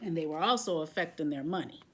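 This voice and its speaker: A woman's voice: sits low, expressive, fast talker, background noise. Woman reading a picture book aloud, expressively. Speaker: children's book reader.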